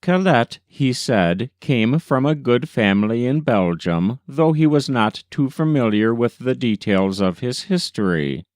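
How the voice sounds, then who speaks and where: A man's voice: medium pitch, lively intonation, unhurried, few pauses, darkish tone, dry recording, studio quality. A man reading an old newspaper story aloud as scripted narration. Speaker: volunteer reader.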